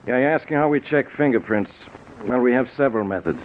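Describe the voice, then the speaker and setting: An American actor playing a polite, shrewd homicide inspector with a matter-of-fact, probing manner in a 1940s radio drama. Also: male voice, medium pitch, fast talker, natural voice. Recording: noisy, narrow-band 1940s radio recording.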